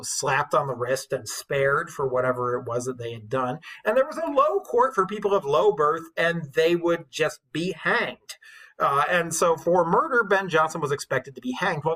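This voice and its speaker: A man with a husky voice giving an informal, enthusiastic classroom lecture as an English teacher, telling stories in colloquial language. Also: fairly high pitch, lively intonation, moderate pace, a bit bright, low-quality recording.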